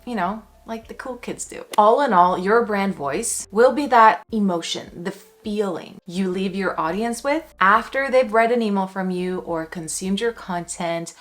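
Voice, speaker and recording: A woman's voice: mid-range voice, unhurried, hardly any pauses. A young woman hosting her own vlog, a copywriter talking to camera in an upbeat, playful way with chatty asides. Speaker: copywriter and brand strategist, channel host.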